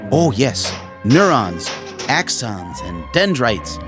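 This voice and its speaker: An actor playing a naive, literal-minded robot baseball pitcher in a radio drama, speaking deliberately and innocently, with music behind. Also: male voice, very animated.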